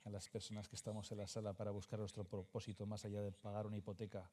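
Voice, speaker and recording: Low, mellow-voiced man interviewing on stage. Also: little pitch movement, rapid-fire. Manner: hesitant, many fillers and restarts when posing questions. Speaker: conversation host, interviewer.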